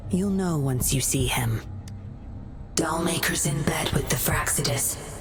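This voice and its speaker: A low female voice plays a composed undercover agent in a video game. She gives clipped, controlled orders with a cool edge of threat. Music plays clearly behind her.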